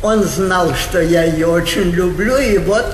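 A woman's voice: fairly low pitch, a little slow, slight background noise, close to an everyday voice. An older woman playing a haughty, capricious old princess on stage, scolding and commanding in a classical theatrical manner. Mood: gracious. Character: imperious old aristocratic princess, capricious, doting on her pet. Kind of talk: classical Russian stage acting. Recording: echoey theatre hall recording, faint music.

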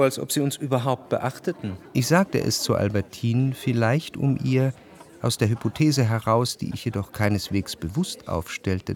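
An actor playing a reflective, jealous gentleman who narrates a literary radio drama in measured, introspective phrases. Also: on the low side, creaky. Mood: probing. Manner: measured, introspective, long formal sentences.

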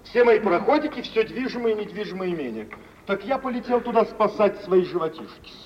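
A swaggering, cheerfully cynical spendthrift gentleman in a 1950s Russian radio play. The high voice swings freely with theatrical familiarity. It sounds distant and muffled, with faint hiss. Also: very animated, brisk. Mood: breezy, rueful. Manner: jaunty, theatrical, playfully familiar. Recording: muffled old radio recording, distant, hissy.

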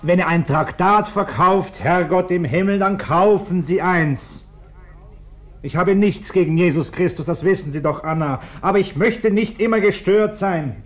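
Indignant bourgeois man, fairly high voice. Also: unhurried. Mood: irritated. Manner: defensive, easily irritated, formal. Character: indignant, self-righteous, respectable bourgeois businessman. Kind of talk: German radio play acting. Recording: dull, band-limited radio recording.

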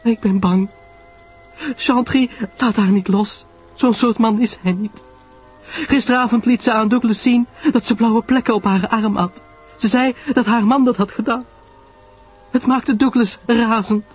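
A man's voice: very high voice, steady tone, unhurried, breathy edge, faint music behind, voice pushed up, full character voice. A man voicing a distraught, tearful small woman in a story, stammering and pleading breathlessly. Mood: anguished.

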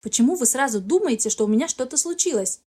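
A woman's voice: sits high, animated, quick pace, darkish tone, slightly rough. A woman narrator voicing an agitated, vulnerable young heroine in an audiobook. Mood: defensive, protesting.

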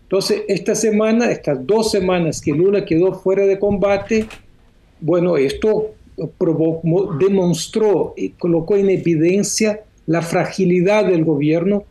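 A Brazilian economist speaking Spanish as a second language, his voice pitched high but mellow and unhurried. He lays out political analysis for a radio program in a measured, explanatory way, often closing a thought with a questioning tag. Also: rough recording. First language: Portuguese. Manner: measured, explanatory, with frequent "¿no?" tags. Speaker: columnist, economist. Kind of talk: radio phone-in commentary.

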